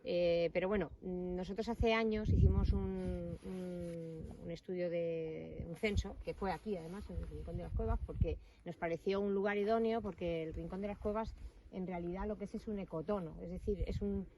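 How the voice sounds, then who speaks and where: A woman's voice: fairly low pitch, fairly even intonation, moderate pace. A woman from Madrid, a naturalist guest, explaining things carefully and precisely outdoors. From Madrid, Spain. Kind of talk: explanatory interview talk. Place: outdoors in the countryside. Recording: distant mic, noisy outdoors.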